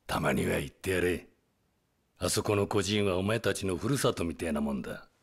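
An actor playing an older yakuza patriarch, paternal and cautious, with gruff authority, in a video game drama. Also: male voice, deep voice, brisk, a little hiss or hum. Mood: fatherly.

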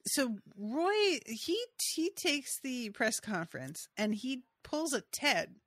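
A woman's voice: high-pitched, big pitch swings, takes it slowly, a bit bright, no echo, clean studio sound. A woman co-hosting a podcast, talking casually and trailing off into asides. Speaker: podcast co-host. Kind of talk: casual recap chat about a TV episode.

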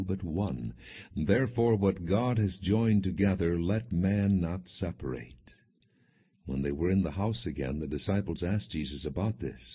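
A man narrating scripture as an audiobook in a measured, deliberate, expressive way. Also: deep voice, breathy edge. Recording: muffled, phone-like band-limited audio.

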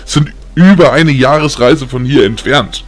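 A man voicing a caring, weary old grandfather in an audiobook, gentle and measured in his telling. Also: medium pitch, natural voice. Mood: solemn storytelling. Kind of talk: audiobook character acting.

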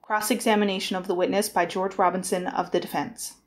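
Mid-pitched woman narrator. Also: little pitch movement, a little fast. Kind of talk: scripted narration.

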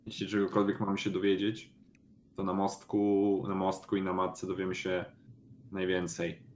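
A man's voice: deep voice, monotone, moderate pace, a little hiss or hum. A man playing a tabletop role-playing game, talking through plans in character.

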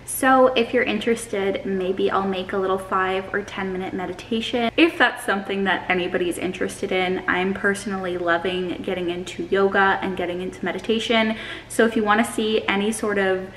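A young woman talks to a handheld camera in her kitchen, relaxed and chatty in a calm, even tone. Her voice sits at an ordinary middle pitch. A faint hum of room noise stays in the background. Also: normal speed, roomy sound, poor audio. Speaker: vlogger. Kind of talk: casual food vlog chat. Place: home kitchen. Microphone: camera held at arm's length.

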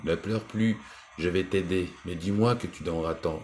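A man voicing an ugly old toad in a storybook tale, with a hoarse, cracked voice. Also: deep voice, moderate pace, darkish tone, slight background noise, natural voice. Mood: pleading.

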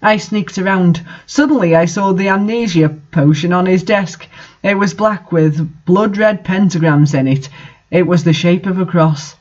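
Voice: mid-range voice, normal speed, muffled recording. A host reading fan fiction aloud in an amateur dramatic style, with the odd aside.

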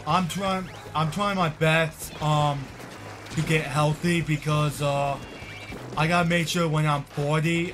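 A man in his twenties chatting to his viewers while playing a game, rambling and repeating himself, with short bursts of frustration. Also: fairly high pitch. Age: 20s. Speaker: streamer. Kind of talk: casual game commentary to chat. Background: game music.

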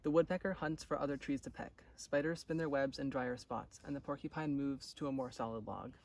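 A man's voice: medium pitch, fairly even intonation, fairly quick, vocal fry, a little mellow. A young man reads a children's nature storybook aloud outdoors, recorded from a short distance away. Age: young adult. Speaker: intern reading a storybook aloud. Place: outdoors on a forest trail. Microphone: camera or phone a short distance away. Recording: distant mic, faint outdoor noise.

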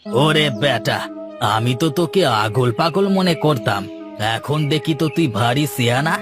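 A man's voice: a little high, expressive, moderate pace, close to an everyday voice. A man voicing a jealous, gossiping villager in a cartoon fairytale, mocking and conspiratorial. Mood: mocking, scheming. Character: jealous, gossiping male villager, sly troublemaker. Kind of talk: animated moral fairytale dialogue. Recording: music behind the voice.

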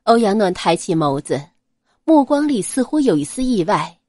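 A woman narrating an audiobook in an expressive, scripted storytelling style. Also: medium pitch, normal speed, dry recording, clean studio sound.

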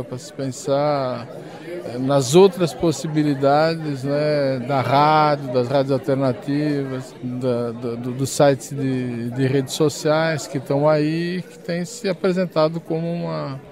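A man's voice: a little high, fairly even intonation, unhurried. A man with a Brazilian accent, a professor being interviewed, hesitantly searching for words as he answers. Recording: busy crowd noise behind interview.

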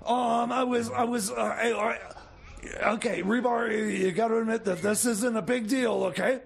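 Whiny sidekick guy, extremely high-pitched, gravelly creak, stammering, exasperated, comedy radio play. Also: steady tone, moderate pace. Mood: flustered, defensive. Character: whiny, flustered, scheming layabout sidekick man.

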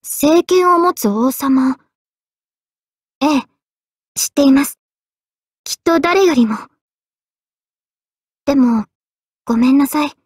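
A woman playing an earnest, polite, quietly self-deprecating young female mage in expressive anime game voice lines. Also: high voice, slow pace, pauses often, dry recording, studio quality. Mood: subdued, apologetic. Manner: polite, expressive, shifting between excited and subdued.

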